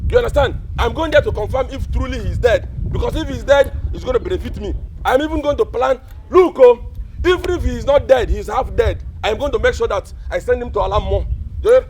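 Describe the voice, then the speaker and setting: A male actor playing a callous, scheming village elder with a Nigerian accent, scolding and repeating himself in loud, theatrical film style. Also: extremely high pitch, expressive, brisk, a little hiss or hum, low-quality recording. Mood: defiant, callous. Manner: blustering, repetitive, scolding.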